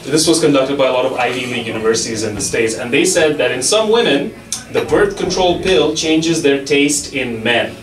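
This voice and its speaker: A young man doing a stand-up set on a club stage with a handheld mic, delivering mock-authoritative rules and quick asides. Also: mid-range voice, expressive, a crowd in the background. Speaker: stand-up comedian. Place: comedy club stage, audience laughing. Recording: echoey club from far off, loud audience laughter.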